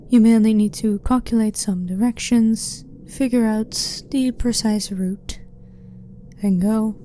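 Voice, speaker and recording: Mellow, blunt alien scientist voiced by a woman. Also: medium pitch, a little hiss or hum, lightly acted. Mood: matter-of-fact. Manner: blunt, clinical, matter-of-fact, slightly formal. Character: blunt, curious female alien scientist, calm and not hostile. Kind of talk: ASMR roleplay, soft-spoken monologue to the listener.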